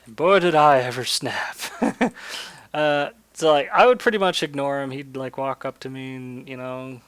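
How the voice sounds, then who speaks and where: A man's voice: mid-range voice, moderate pace, fairly bright tone, smooth, dry recording. A man chatting and telling stories casually as he hosts a gaming video, rambling and swearing freely. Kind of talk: casual chat and storytelling over gameplay. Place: indoors, voice-over for screen-recorded gameplay.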